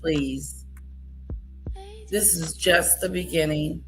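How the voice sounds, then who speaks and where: A woman's voice: sits low, steady tone, slow, faint music behind. A woman hosting a reaction commentary, scolding and incredulous as she picks apart a clip. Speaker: commentary channel host.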